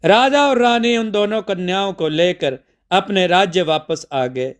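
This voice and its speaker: A male storyteller with a distinctly high, smooth voice narrates a mythological tale. His phrasing is measured and deliberate, and the delivery is clean and resonant.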